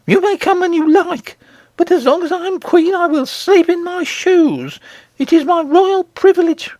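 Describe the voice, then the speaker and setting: A man's voice: very high voice, a little slow, a little mellow, no echo, pitched above the performer's own voice. A man voicing an imperious, scornful goblin queen in a haughty, domineering manner for a storybook. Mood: triumphant, bossy.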